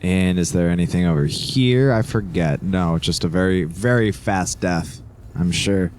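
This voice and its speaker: A man's voice: low-pitched, measured pace, darkish tone, slight background noise. A man co-hosting a let's play with casual joking commentary, playfully mocking and echoing phrases as he plays. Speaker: let's play co-host playing the game.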